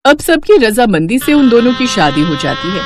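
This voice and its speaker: A woman's voice: fairly high pitch, big pitch swings, normal speed, heavy background noise. Woman narrating a moral story.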